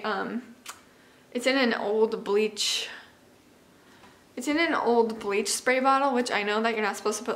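A woman's voice: fairly high pitch, a bit bright. A woman chats casually to camera as a homemaking vlogger, informal, rambling and self-deprecating. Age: 20s. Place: home kitchen, indoors. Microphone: camera microphone, about arm's length or further. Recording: echoey open kitchen room.

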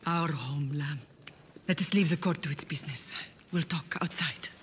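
An actress playing a composed middle-aged mother, quietly commanding, in a video game. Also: low voice, moderate pace, a little hiss or hum, close to an everyday voice. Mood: tender. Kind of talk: video game cutscene acting.

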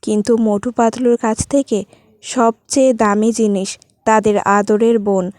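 A woman's voice: fairly high pitch, fairly even intonation, moderate pace, darkish tone, no echo, clean studio sound. A woman narrating a scripted cartoon story.

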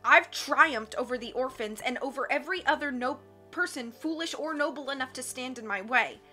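A cruel, theatrical villain gloats over his victims with mocking laughter and growling threats, as a woman puts him on. The voice runs bright and forceful, pitched a touch high, with faint music underneath. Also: expressive, moderate pace. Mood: boastful.

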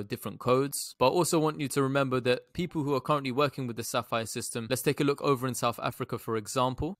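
A man's voice: medium pitch, a bit bright, no echo. A young man giving informal explanatory commentary as a stock analysis presenter, recorded close to a desktop microphone. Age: young adult.

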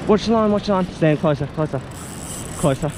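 Excited high-voiced man over loud surf. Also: brisk. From Australia. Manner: excited, urgent, calling instructions. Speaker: fishing vlogger. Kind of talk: excited outdoor commentary. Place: ocean rock ledge outdoors. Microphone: action camera. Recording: loud wind and surf noise.

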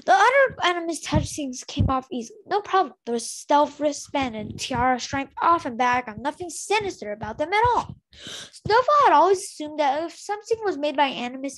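A woman's voice: high-pitched, fairly bright tone, poor audio. A woman reading a fantasy novel aloud as an amateur, stumbling over words and correcting herself. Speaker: amateur book reader.

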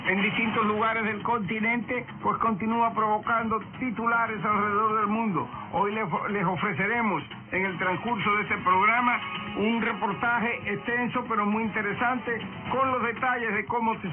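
Male radio news host, high-pitched, scripted delivery, narrow tinny shortwave sound, music behind. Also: fairly even intonation, moderate pace. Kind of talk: scripted radio news presentation addressed to listeners.

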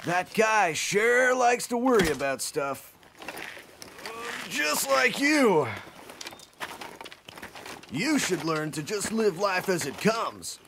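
A man's voice: high voice, very animated, slow, long pauses, background noise, exaggerated voice. A man playing a boisterous, carefree big samurai warrior in a video game, with a swaggering, easygoing manner. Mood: breezy, teasing. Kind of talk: dramatic English video game voice acting. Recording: game cutscene with music behind.

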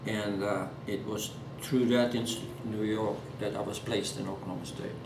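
An elderly man in his eighties from Norway, speaking English with a Norwegian accent in an oral history interview at home, halting with many fillers and restarts. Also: lowish voice, steady tone. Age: 80s. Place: living room at home. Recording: echoey room, distant mic, background hiss.

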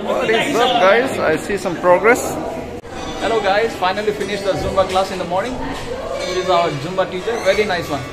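A man's voice: sits high, normal speed, over loud music, over a noisy crowd, low-quality recording. A man in his thirties from Nepal, chatting upbeat and directly to viewers in a casual vlog filmed on a phone held at arm's length. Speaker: vlogger. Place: streets, stairwell and gym at dawn, then a bedroom. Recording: phone audio with clear background noise.

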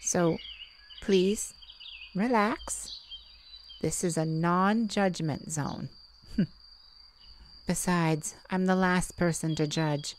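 A woman playing a calm, enigmatic mystic who peers into minds, speaking with soothing, hesitant pauses in an intimate audio roleplay. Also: lowish voice, slight background noise. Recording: nature ambience with birds and crickets.

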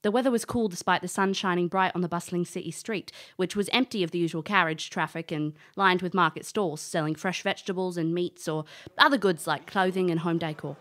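A woman's voice: fairly low pitch, fairly even intonation, brisk, a bit bright, natural voice. A woman playing a breezy, brash elf adventurer who narrates her own tale in an audio drama. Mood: storytelling. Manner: informal, brash. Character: cheeky, breezy female elf adventurer recalling her youth.

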